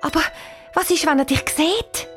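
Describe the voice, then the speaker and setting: A woman's voice: high voice, moderate pace, soft background music, pitched above the performer's own voice, natural voice. A woman voicing a cautious, worried young girl in a children's story, with an anxious, unsure manner. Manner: hesitant, anxious.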